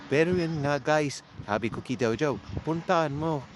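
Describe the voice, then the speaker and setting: A man's voice: on the high side, normal speed. A man with a Filipino accent narrating a vlog in a casual, chatty way, speaking straight to his viewers. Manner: informal, chatty, addresses viewers. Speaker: vlogger. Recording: clearly noisy amateur recording.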